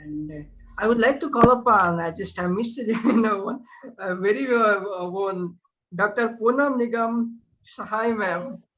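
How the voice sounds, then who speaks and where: A woman's voice: medium pitch, unhurried, a bit bright, rough recording. A woman with an Indian accent hosting an online poetry event, effusive and deferential, often repeating her phrases.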